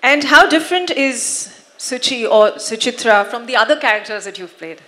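Bright lively woman moderating a panel. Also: on the high side, normal speed. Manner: lively, asks questions, leads applause. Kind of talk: hosting a press conference. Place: large hall.

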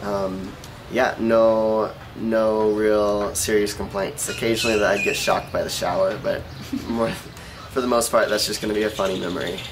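A young man with an American accent speaks casually, as in a relaxed interview. His voice sits fairly low. He is recorded on an open-air terrace, with clearly audible background noise. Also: normal speed. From Oregon, United States. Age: young adult. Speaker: volunteer being interviewed. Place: outdoor rooftop terrace.

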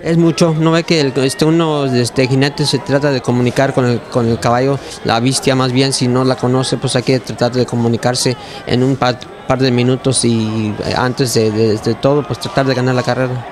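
Hesitant jockey, handheld mic. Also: male voice, medium pitch, level tone, normal speed, hardly any pauses, crowd noise. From Mexico. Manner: hesitant, many fillers and restarts. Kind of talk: on-camera interview answers. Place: racetrack clubhouse indoors. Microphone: handheld mic held by interviewer.

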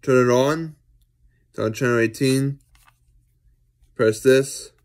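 A young adult man giving a casual, unscripted gadget demonstration, halting and often repeating himself. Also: medium pitch, a little mellow. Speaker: amateur vlogger showing gadgets. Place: small furnished bedroom indoors. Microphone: phone or camera at arm's length.

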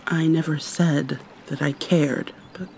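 A woman's voice: deep voice, steady tone, takes it slowly, creaky, a little mellow, slight background noise, full character voice. A woman playing an irritable, haughty but secretly caring vampire mermaid in an ASMR roleplay, sardonic and formal with exasperated sighs. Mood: scolding.

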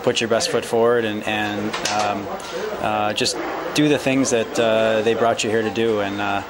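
A man's voice: lowish voice, little pitch movement, background noise. A man in his thirties, a hockey player, giving a hesitant post-game interview into a handheld mic in a corridor. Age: 30s. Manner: hesitant, slow to form sentences. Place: small indoor arena corridor.

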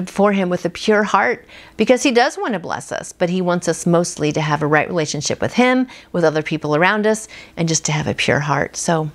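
A woman in her fifties hosting a devotional, reading aloud in an earnest, conversational way. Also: on the low side. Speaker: devotional host. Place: small furnished room at home, indoors.

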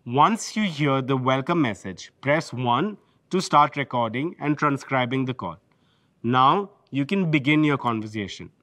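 A man's voice: medium pitch, animated, measured pace, sharp tone, dry recording. A man with an Indian English accent giving a polished, scripted product presentation from behind a lectern. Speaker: corporate presenter. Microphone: lectern microphone.

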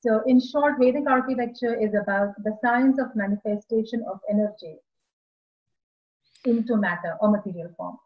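A woman's voice: on the high side, normal speed. A woman in her forties with an Indian accent, an architect explaining her work carefully on a video call from a room at home. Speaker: architect guest. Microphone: laptop webcam on video call, earphones. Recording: dull lo-fi video call audio.

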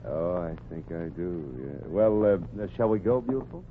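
An actor playing a smarmy, eager skirt-chaser with slangy sweet talk in a 1940s radio comedy. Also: male voice, sits low, expressive, moderate pace. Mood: eager, oily. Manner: slangy, wheedling pet-name sweet talk. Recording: muffled, noisy 1940s radio broadcast recording.

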